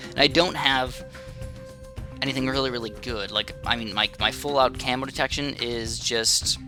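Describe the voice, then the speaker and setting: A man giving casual, rambling gameplay commentary, chatty and full of fillers and restarts. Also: medium pitch. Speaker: gaming channel host. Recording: game music playing under the voice.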